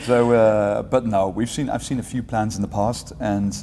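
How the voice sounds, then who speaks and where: A man in his forties, a teacher being interviewed, answering in a relaxed, rambling way with light humor and frequent self-corrections. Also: lowish voice, normal speed, big-room sound, a little hiss or hum. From England. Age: 40s. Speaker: guest, entrepreneurship teacher.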